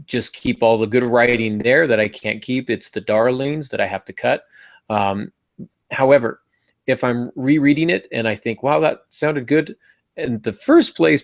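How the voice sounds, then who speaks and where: A man's voice: medium pitch, no echo. A man hosting a writing podcast, chatting in an upbeat, casual way with frequent restarts. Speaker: podcast host.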